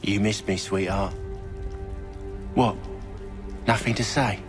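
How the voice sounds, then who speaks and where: Cocky lowlife villain, music behind. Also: male voice, steady tone, takes it slowly. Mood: mocking. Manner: cocky, slangy, taunting. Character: insolent criminal conspirator jailed in colonial America. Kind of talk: video game cutscene acting.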